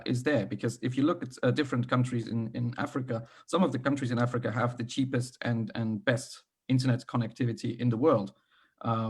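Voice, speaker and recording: Hoarse, husky man with a cold. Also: mid-range voice. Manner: hesitant, self-deprecating, many fillers. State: sick, losing his voice, coughing. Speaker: event co-host. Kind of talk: opening remarks at an online panel. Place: video call.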